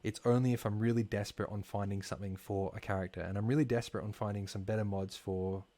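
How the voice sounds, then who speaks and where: A man talking casually while live-streaming a game, slightly hoarse, chatty and full of fillers, from a home streaming setup. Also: fairly low pitch, fairly even intonation, fast, warm tone. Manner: chatty, filler-heavy, talks while playing. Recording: clear background music under voice.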